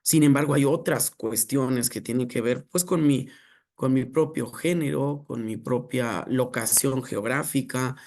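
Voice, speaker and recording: A man giving an academic lecture, speaking in a measured, reflective way with filler pauses. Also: medium pitch, a little mellow.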